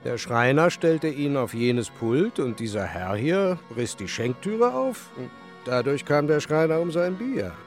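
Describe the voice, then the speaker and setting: An actor playing a chatty, nostalgic tavern companion in a radio play. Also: male voice, medium pitch, sing-song, measured pace, faint music behind. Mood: explaining.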